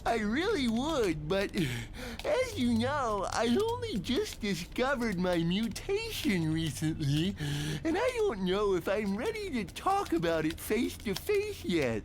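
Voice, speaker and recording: Man playing slow, swooping theatrical mutant villain. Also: high-pitched, wildly swooping pitch, a little hiss or hum, full character voice. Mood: gushing, lovelorn. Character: crude huge male villain catfishing as a gushing lovelorn woman. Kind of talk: motion comic voice acting.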